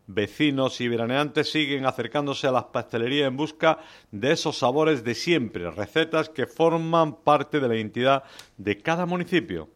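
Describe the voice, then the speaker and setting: A man presenting the news in a calm, even, scripted way. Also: medium pitch, normal speed. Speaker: news anchor.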